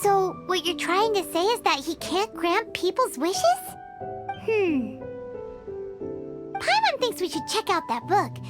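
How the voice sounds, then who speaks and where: A woman's voice: very high voice, very animated, takes it slowly, pauses often, loud music behind the voice, heavily acted. A woman voicing a small, childlike fairy companion in a video game, chirpy, curious and talkative. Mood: curious, scheming. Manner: refers to self in third person, exclamatory. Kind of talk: video game character dialogue.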